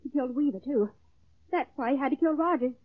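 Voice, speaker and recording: An actress playing a defiant, cornered young female accomplice to murder, sharp and defensive, in 1940s radio drama. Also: high voice, quick pace, dry recording, lightly acted. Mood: rattled, pleading. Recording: tinny, phone-like old radio recording.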